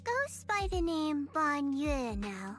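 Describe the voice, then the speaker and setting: A shrewd, businesslike female game character. Her voice sits very high and swings animatedly. She sounds self-assured and teasing. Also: sing-song, slow pace, a bit bright, faint music behind, heavily acted. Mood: sly, teasing. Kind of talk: scripted game voice acting.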